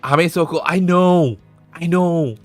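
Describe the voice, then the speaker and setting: Guy streaming, talks slow and blunt, swears a lot, dry close mic with faint music under. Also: on the high side, no room sound. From Indonesia. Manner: frank, swears freely, restarts often, gets heated. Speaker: streamer host. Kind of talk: casual advice chat on a live stream.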